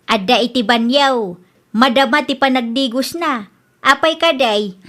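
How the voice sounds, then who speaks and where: Woman acting a bright, high-pitched Ilocano mother. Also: measured pace. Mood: brisk. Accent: Ilocano Filipino. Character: bossy, chatty middle-aged mother running a small store. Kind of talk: radio drama acting in Ilocano.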